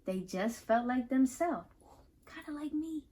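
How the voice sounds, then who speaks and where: A woman with a high voice reads aloud for children as a host and teacher. Her pitch swings widely as she explains things step by step. She speaks straight to the listener, checking in with simple questions. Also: measured pace, breathy edge. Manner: animated, instructive, addresses listeners with questions. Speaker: host reading a picture book aloud to children.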